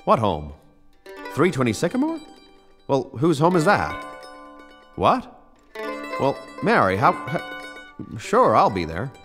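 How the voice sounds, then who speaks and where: An actor playing an earnest, excitable young small-town American man, stammering and folksy, in a radio play. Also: medium pitch, takes it slowly, pauses often, over music. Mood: flustered. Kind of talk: old-fashioned radio play acting.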